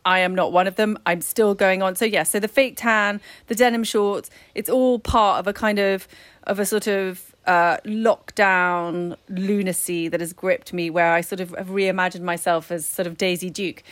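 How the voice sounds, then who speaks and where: A woman talks through a casual chat with a friend in a self-deprecating, wandering way, breaking into laughter and starting her sentences over. Her voice sits at an ordinary middle pitch. The room sounds dry and close. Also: normal speed, no room sound. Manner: self-mocking, laughs often, rambling restarts.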